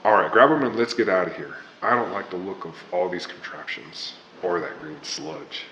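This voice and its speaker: A man playing a gruff, wisecracking tough-guy hero. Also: sits low, normal speed, pushed and bright, highs cut off, slight background noise. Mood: urgent. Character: gruff reluctant hero, a baker and war veteran. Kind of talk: 1940s radio drama acting.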